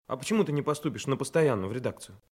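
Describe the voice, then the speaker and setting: An actor playing a hesitant, naive young provincial trainee detective in a Soviet radio drama. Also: male voice, mid-range voice, expressive, very fast, darkish tone, slightly rough. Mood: curious, shy. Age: young adult. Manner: plain, unsure, mild. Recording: 1980s radio drama, music behind.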